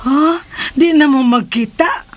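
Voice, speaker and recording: A middle-aged mother with the mind of a child, in a very high voice. Her phrasing is slow and simple, clingy and naive, in Philippine radio drama style. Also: a little mellow. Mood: naive, eager.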